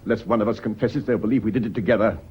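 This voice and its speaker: A man's voice: fairly low pitch, steady tone, racing, husky. A man playing an anxious, cornered British schoolmaster in a radio drama, intense and theatrical. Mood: alarmed, despairing. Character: anxious, cornered schoolmaster, bitter secret lover under suspicion. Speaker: actor in a radio play. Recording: dull, band-limited radio drama recording.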